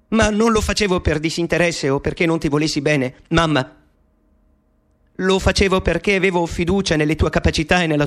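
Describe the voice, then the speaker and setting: A young eccentric mathematician in a radio drama, with a high-pitched male voice. He speaks intimately and playfully, with a nervous, eccentric edge, as if confiding in his mother. It is a studio recording. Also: fairly bright tone. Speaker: actor playing Alan Turing. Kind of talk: theatrical radio monologue.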